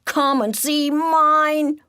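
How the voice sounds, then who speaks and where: A woman voicing Joey, a showy, fun-loving young male pack rat who calls out in a loud, sing-song showman style. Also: sits high, extremely slow, dry recording, studio quality, pitched above the performer's own voice, exaggerated voice.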